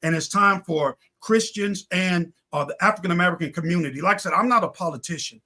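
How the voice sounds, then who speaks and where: High-pitched, bright-voiced preacherly man. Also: quick pace. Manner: preacherly, builds points in repeated phrases. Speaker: pastor, guest on a talk show. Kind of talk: impassioned political and faith talk.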